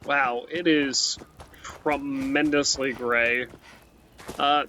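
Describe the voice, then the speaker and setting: A man's voice: medium pitch, fairly even intonation, drawn-out words, bright, forceful tone. A man giving rambling, casual commentary while playing a game, often tacking on "right?" and restarting his sentences. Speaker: let's play host. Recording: muffled with faint background hiss.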